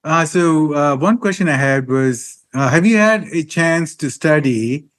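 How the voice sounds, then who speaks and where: A man hosting an interview, asking long questions with frequent hesitations and filler pauses. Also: on the high side, animated. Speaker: interview host.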